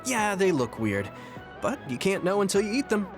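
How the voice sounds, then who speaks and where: An earnest young video game soldier, fairly high in pitch with lively intonation. He is gentle, kind and eager to help, and music plays behind his lines. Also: normal speed. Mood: friendly, upbeat. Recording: background music over dialogue.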